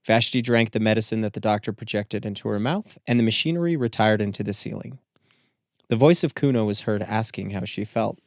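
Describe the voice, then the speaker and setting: A man reading scripted literary narration as an audiobook narrator, with measured, deliberate phrasing. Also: fairly low pitch, vocal fry, no echo, studio quality.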